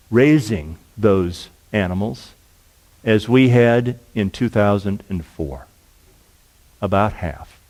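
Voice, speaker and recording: A middle-aged man from Nebraska, a lawyer, giving a measured, earnest public lecture at a university, his voice sinking to a hush at the end of each point. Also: fairly low pitch, rough recording. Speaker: lawyer and speaker.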